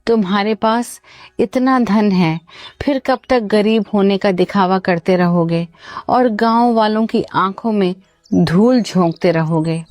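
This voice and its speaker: Slow, scornful nagging wife played by a woman. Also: medium pitch, a little mellow, no echo, natural voice. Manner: scolding, deliberate. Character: greedy, nagging village wife, bitter and scornful. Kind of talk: cartoon story voice acting.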